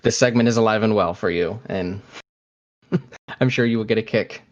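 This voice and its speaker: A man's voice: fairly low pitch, normal speed, highs cut off. A man co-hosting a podcast in casual conversation, talking in a hesitant, conversational way. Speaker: podcast co-host.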